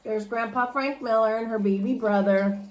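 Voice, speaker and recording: A woman teacher reading a children's storybook aloud in an expressive, explanatory way. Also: a little high, moderate pace, rough recording.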